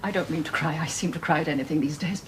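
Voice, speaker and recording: A woman's voice: sits low, very fast, background noise, close to an everyday voice. An actress playing a doting elderly mother who rambles and tears up easily, in a radio drama. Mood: fussing. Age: older. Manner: fussing, rambling, tearful.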